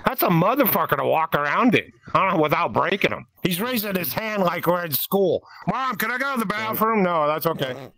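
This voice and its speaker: A man with a slightly high voice hosts a loose, casual chat. He swears freely and teases the people he is talking to, nudging them along for stories. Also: sing-song, moderate pace, sharp tone, no room sound, poor audio. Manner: crude, profane, teasing. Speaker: host prompting friends for stories.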